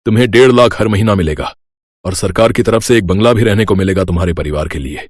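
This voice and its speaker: A man's voice: lowish voice, fast talker, slightly rough, dry recording, studio quality. A man playing a stern, middle-aged senior railway official in a narrated story, speaking with authority. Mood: approving. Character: stern older senior railway official, grateful then scolding. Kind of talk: acted dialogue in a narrated story.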